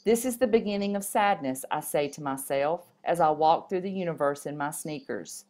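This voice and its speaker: Low-voiced woman reading aloud. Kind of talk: reading a story and poem aloud.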